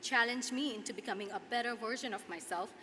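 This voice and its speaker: Woman, 20s, Nepali accent, student commencement speaker; forceful bright tone, earnest measured phrasing, big reverberant hall. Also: fairly high pitch. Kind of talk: prepared commencement speech. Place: large hall at a podium, audience ambience. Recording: echoey hall PA, clear background noise.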